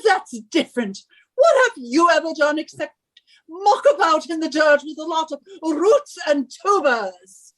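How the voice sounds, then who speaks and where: A woman's voice: high-pitched, lively intonation, unhurried, a bit bright, rough recording. A woman playing an imperious, scornful, middle-aged British wife who scolds and gives orders in a radio suspense drama. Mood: scornful, commanding.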